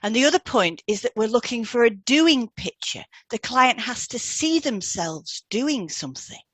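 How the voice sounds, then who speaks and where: A woman's voice: fairly high pitch, lively intonation, moderate pace, breathy edge, no room sound. Woman presenting, dry close sound. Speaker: podcast co-host and hypnotherapy trainer. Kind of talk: scripted educational podcast dialogue, read aloud.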